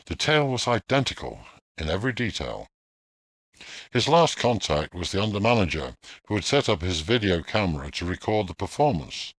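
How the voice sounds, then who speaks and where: A man narrating a ghost story from a script in a measured, suspenseful, theatrical manner. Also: deep voice, a bit bright, no echo.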